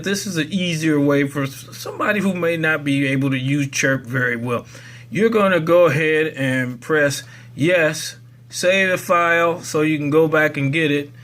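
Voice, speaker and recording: A man with a voice pitched a little higher than average talks through a how-to in a casual, unscripted way. His delivery is folksy and rambling, as if he is thinking aloud while he explains each step. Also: fairly bright tone. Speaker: presenter of a software tutorial.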